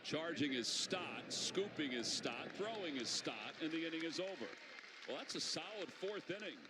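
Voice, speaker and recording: A man's voice: on the high side, animated, normal speed, over a noisy crowd. An American man calling a baseball game as play-by-play announcer and chatting with guests. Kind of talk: live baseball commentary and in-game interview. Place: outdoor baseball stadium. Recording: outdoor ballpark noise. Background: ballpark ambience.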